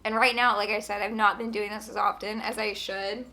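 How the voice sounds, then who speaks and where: A young woman with a hoarse voice from a sore throat, chatting casually as she reviews beauty products, full of filler words. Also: mid-range voice, level tone, brisk, sharp tone. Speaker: beauty vlogger.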